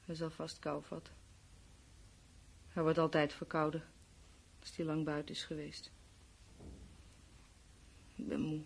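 A woman's voice: sits low, completely flat delivery, measured pace, vocal fry, long pauses, breathy edge, heavily acted. A woman acting in a radio play as a weary, fearful, brooding survivor of a world war's collapse. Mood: resigned, drained.

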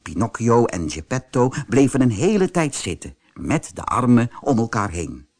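A man narrating a children's fairy tale audiobook as an expressive, scripted storyteller. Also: mid-range voice, normal speed.

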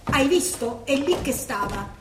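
A commanding countess and anxious mother in a radio play. Her voice is extremely high and animated, picked up from a distance in an echoey, noisy space. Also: a little slow. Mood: indignant. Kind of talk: theatrical radio drama acting.

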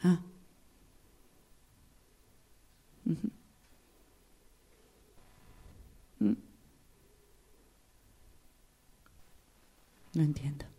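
A woman playing a suspicious, wounded wife in an improvised radio drama, probing haltingly with an Argentine accent and a husky, creaky voice. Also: sits low, mellow. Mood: hurt, baffled. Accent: Argentine Spanish.

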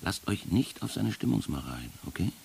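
A man playing a sardonic, world-weary narrator in a literary radio play, speaking deliberately and with dry irony. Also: low-pitched, very animated, gravelly, darkish tone, a little hiss or hum, full character voice. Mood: reassuring, instructing. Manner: deliberate, poetic, dryly ironic. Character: sardonic, world-weary first-person wanderer and guide.

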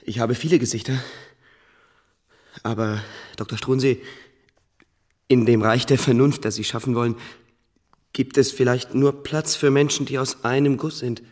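An actor playing an unstable young king in a German radio drama, brooding and insistent, haltingly seeking agreement. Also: medium pitch, fairly even intonation, a little mellow, close to an everyday voice. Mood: anxious, searching.

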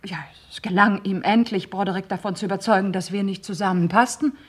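An actress playing an earnest, regretful divorcée in a German radio crime drama, measured but emotional, with hushed moments. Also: female voice, medium pitch, fairly even intonation, husky, close to an everyday voice. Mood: subdued, reflective. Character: earnest, regretful divorced woman questioned by police.

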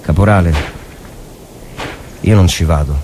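Un uomo che interpreta un soldato veterano ostinato, che parla piatto, grave e risoluto in un racconto radiofonico. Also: male voice, unhurried, very noisy. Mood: resolute, grave. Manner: low, flat, resolute. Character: stubborn, grave veteran soldier quietly refusing orders.

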